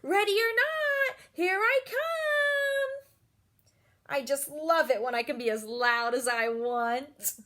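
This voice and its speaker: A woman reading a children's storybook aloud, voicing an eager, expressive young schoolgirl. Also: high-pitched, takes it slowly, voice pushed up, full character voice. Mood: exuberant.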